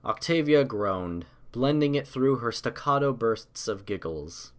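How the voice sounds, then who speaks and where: A man's voice: medium pitch, lively intonation, unhurried. A man reading scripted story narration as the narrator of an audio tale.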